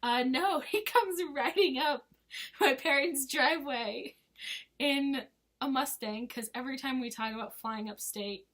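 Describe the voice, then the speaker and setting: A woman in her twenties, hoarse from losing her voice, chatting casually in a rambling, giggly vlog. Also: sits high, a little slow, a bit bright. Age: 20s. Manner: rambling and giggly, full of fillers. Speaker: vlogger. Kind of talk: casual chat to camera.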